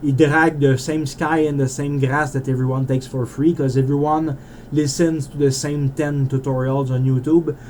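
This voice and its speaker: A man with a French Canadian accent talks through his game project in a loose, rambling vlog, breaking off and restarting his sentences. His voice is mellow and unforced. A faint hum of background noise sits under the recording. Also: medium pitch, little pitch movement, moderate pace. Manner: rambling and self-interrupting, with frequent restarts. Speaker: indie game developer. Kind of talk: rambling casual monologue.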